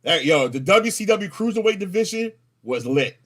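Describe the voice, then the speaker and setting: Excitable higher-pitched man in his thirties. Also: normal speed. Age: 30s. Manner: excitable, slangy, profane. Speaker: podcast host. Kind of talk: casual wrestling chat.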